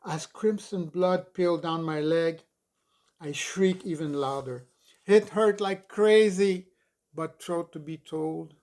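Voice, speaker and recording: Slow, higher-voiced older male storyteller. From Montreal, Canada. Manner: expressive, deliberate, emphatic storytelling. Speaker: author reading own memoir. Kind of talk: dramatic audiobook narration.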